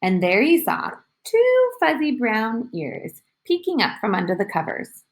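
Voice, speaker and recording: A woman's voice: a little high, wildly swooping pitch, unhurried. A woman teacher reading a children's storybook aloud, instructive and addressing young listeners. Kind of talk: animated children's storybook narration.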